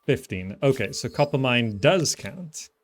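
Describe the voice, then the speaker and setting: A man's voice: mid-range voice, expressive, a little slow. A man giving casual gameplay commentary, thinking aloud as he plays. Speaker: gaming video host.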